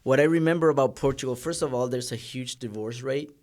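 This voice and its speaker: A man's voice: medium pitch, brisk, darkish tone. A man in his thirties, originally from Argentina, speaking earnestly as a guest in a casual podcast conversation.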